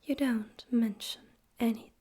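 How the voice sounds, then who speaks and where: A woman voicing a suave, amused, menacing male demon overlord in a breathy whispered ASMR roleplay, slow and condescending. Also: fairly high pitch, fairly even intonation, mellow. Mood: coolly threatening. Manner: slow, condescending, teasing, deliberate.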